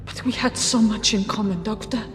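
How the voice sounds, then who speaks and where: An actress playing a defiant, idealistic nurse in a video game drama, speaking in an articulate, formal way. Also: female voice, medium pitch, moderate pace, close to an everyday voice. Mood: wounded, quiet. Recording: game cut-scene with music underneath.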